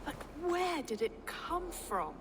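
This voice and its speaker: A woman's voice: extremely high pitch, very animated, slow, exaggerated voice. A woman playing a devout, solemn medieval abbess in a video game. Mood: aghast. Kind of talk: dramatic video game acting. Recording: long reverb, clear background noise.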